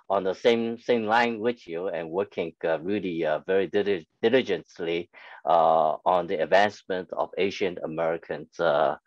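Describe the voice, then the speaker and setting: Deep-voiced halting male host. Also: no room sound. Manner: halting, with many filler pauses. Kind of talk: interview questions. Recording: dull, dry audio.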